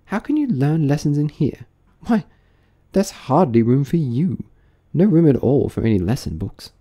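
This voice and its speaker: A man's voice: on the high side, big pitch swings, normal speed, warm tone, pitched above the performer's own voice. A man voicing a curious little girl in a storybook, prim and chatty as she questions herself. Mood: self-scolding. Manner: musing, prim, self-questioning.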